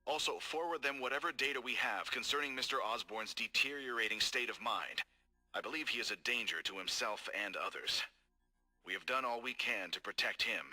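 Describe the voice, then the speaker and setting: A man voicing a cold, scheming corporate executive in game audio logs, calm, measured and formal. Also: medium pitch, soft background music, low-quality recording. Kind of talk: recorded game audio log.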